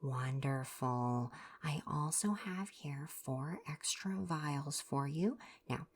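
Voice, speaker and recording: An eccentric, absent-minded healer god of ancient Greece, acted by a woman in an ASMR roleplay. The voice is very deep. The talk is politely formal, then wanders off into chatty, casual musing. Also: slow pace, slightly rough, exaggerated voice. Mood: brisk, reassuring. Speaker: doctor.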